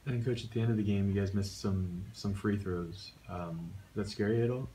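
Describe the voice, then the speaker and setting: A deep-voiced male reporter asks his questions in a flat, casual way, pausing on an occasional filler. His voice stays low and even throughout. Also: a little hiss or hum, poor audio. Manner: casual, a little halting. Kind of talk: asking press conference questions.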